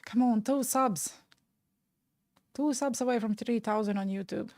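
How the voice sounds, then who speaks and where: A woman's voice: a little high, a little slow, darkish tone, no room sound. A young woman in her twenties from Latvia, speaking with a Latvian accent, chatting casually and frankly with her live-stream viewers, often trailing off mid-word.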